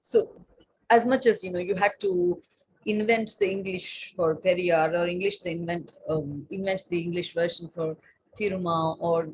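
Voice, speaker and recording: A woman's voice: on the low side, lively intonation, moderate pace, slightly rough, low-quality recording. A woman in her late thirties, a Tamil native speaker, answering on a panel as a writer and translator, thoughtful, with many fillers and restarts.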